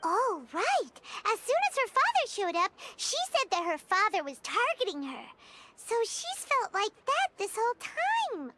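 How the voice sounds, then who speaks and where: A woman voicing a small, excitable female fairy companion in a game, lively and exclamatory. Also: unhurried, slight background noise, heavily acted. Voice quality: high, chirpy and bright. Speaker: game character. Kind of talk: video game voice acting. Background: soft game music.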